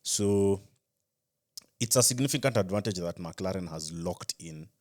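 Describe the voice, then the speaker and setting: A man's voice: low-pitched, unhurried. A man co-hosting a podcast in casual sports chat, often repeating phrases as he builds his point. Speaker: podcast co-host.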